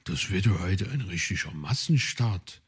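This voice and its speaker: A man's voice: deep voice, animated, unhurried, slightly rough, heavily acted. A man voicing a polite, fussy male forest host. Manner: polite, stammering when flustered.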